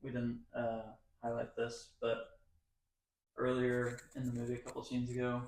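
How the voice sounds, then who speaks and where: A man's voice: mid-range voice, monotone, normal speed, darkish tone. Laid back podcast guy, chuckly asides, room echo. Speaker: podcast co-host. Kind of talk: casual movie discussion.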